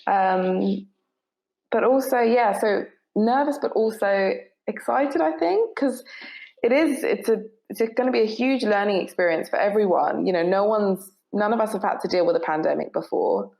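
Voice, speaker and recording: Southern English young woman, creaky voice. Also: mid-range voice, moderate pace, a little mellow, poor audio. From south of England. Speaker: podcast guest, newly qualifying nurse. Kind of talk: casual reflective interview talk.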